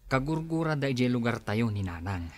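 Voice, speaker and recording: A man playing an earnest young schoolteacher, an embarrassed son who pleads for calm, in a radio drama. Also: medium pitch, normal speed, no room sound, natural voice. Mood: dismayed. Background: music.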